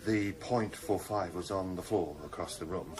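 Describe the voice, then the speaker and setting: A man playing a tough British police detective in a radio drama, measured, controlled and matter-of-fact. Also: low voice, crowd noise, close to an everyday voice. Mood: sober reporting. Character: steady, tough male police detective in command. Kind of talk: 1990s radio drama acting.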